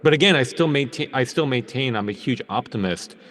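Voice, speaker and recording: A man's voice: mid-range voice, expressive, fairly quick, fairly bright tone, slight background noise. A man from New York speaking remotely to a conference, fluent and confident with a few fillers. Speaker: panel speaker.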